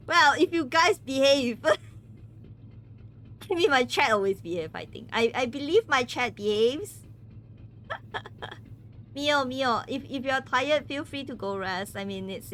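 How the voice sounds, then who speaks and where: Giggly, slow-talking woman streamer. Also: high-pitched, fairly bright tone, a little hiss or hum. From Malaysia. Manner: giggly, stumbles and repeats words. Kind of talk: casual chat with viewers during a game stream.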